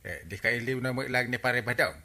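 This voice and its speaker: Radio comedy role: a jocular, prying Filipino villager, exclamatory delivery, sharp bright tone. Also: male voice, mid-range voice, fast. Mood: nosy, amused. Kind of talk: Philippine radio comedy drama acting.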